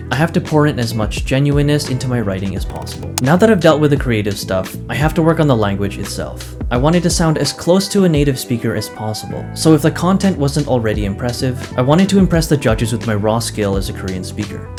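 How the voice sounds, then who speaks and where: A man in his twenties narrates his own vlog in a steady, earnest voice-over. His pitch sits in the middle and his delivery stays calm and reflective. Loud background music runs under every line. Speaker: vlogger.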